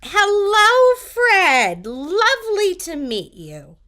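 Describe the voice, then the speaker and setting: A woman's voice: extremely high pitch, wildly swooping pitch, very slow, bright, forceful tone, full character voice. A woman playing a chatty, genteel older neighbor lady in an audio drama, with gracious, old-fashioned manners.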